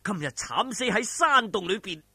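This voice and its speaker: A man's voice: high-pitched, big pitch swings, normal speed, vocal fry, sharp tone, slightly rough, no room sound. A man with a Hong Kong Cantonese accent narrating a martial arts story in a theatrical, colloquial, emphatic way. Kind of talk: dramatic wuxia audiobook narration.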